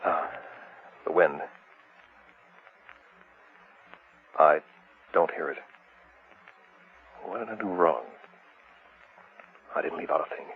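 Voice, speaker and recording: Very deep-voiced, obsessed radio-horror husband. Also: male voice. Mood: anxious, awed. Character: obsessive husband dabbling in midnight occult ritual. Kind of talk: old-time radio horror acting. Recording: noisy, tinny old radio recording.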